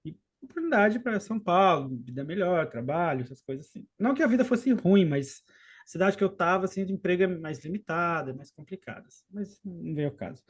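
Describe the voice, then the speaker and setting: A man in his late thirties from southern Brazil with a faint gaúcho accent, telling a personal story in an unhurried, halting way, with frequent restarts and trailing asides. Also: high voice, animated, slightly rough. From Rio Grande do Sul, southern Brazil. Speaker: podcast guest and co-host. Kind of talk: casual storytelling in a podcast chat.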